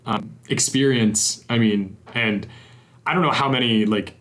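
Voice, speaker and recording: A man's voice: medium pitch, unhurried, a bit bright, rough recording. A young man hosting a casual games podcast, rambling in a friendly way with self-deprecating asides. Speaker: podcast host.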